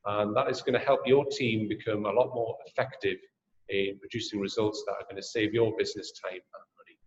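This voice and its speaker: Geordie guy presenting a drone webinar, lowish voice, echoey dull call audio, lots of ums. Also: steady tone, fairly quick. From Newcastle, England. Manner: friendly sales pitch with frequent ums and uhs. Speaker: webinar host and drone sales trainer. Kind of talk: presenting a webinar and answering questions.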